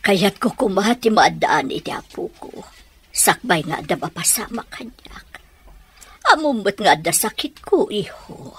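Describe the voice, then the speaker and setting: A woman playing a worried, ailing older mother, anxious and trailing off softly, with a Filipino accent, in radio drama style. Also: mid-range voice, lively intonation, measured pace, husky, heavily acted. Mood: worried, gentle. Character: worried, ailing older mother fretting over her son.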